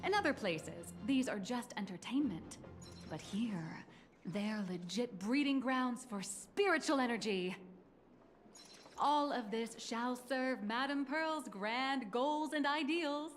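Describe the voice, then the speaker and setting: A proud company guide woman walks visitors through her firm's achievements in a video game. She sounds polite and businesslike, in a slightly raised register. Soft music runs underneath. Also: a little high, lively intonation, takes it slowly. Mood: brisk pitch. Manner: polite, promotional, explanatory.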